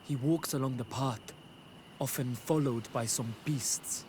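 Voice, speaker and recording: A man plays a young villager in a video game, plain and helpful. His pitch is medium, with a faint layer of noise. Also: measured pace, a little mellow, natural voice. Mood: helpful, frank. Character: grateful, plain-spoken young male villager. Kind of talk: video game dialogue acting.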